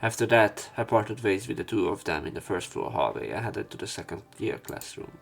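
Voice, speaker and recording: A man's voice: on the low side, animated, fairly quick, vocal fry, slightly rough. A man reading visual novel narration aloud as a let's play narrator.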